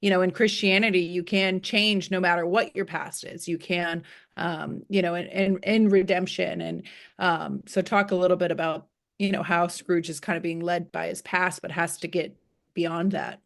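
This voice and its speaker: A woman's voice: lowish voice, fairly even intonation, normal speed, vocal fry. A woman interviewing a guest in an informal, loosely phrased conversational way.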